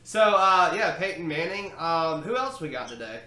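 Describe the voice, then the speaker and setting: A man hosts a casual podcast, pausing often and filling gaps with filler sounds. His voice is bright and a little high. The room around him gives a noticeable echo. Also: big pitch swings. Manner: halting, many filler sounds. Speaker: podcast host. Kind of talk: casual chat. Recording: roomy echo, faint noise.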